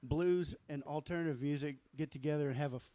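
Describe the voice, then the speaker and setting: Halting male guest, warm dry voice. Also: fairly even intonation, smooth, dry recording. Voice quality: lower register voice. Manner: halting, repeats words and restarts often. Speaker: musician and label founder guest. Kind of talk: casual interview chat.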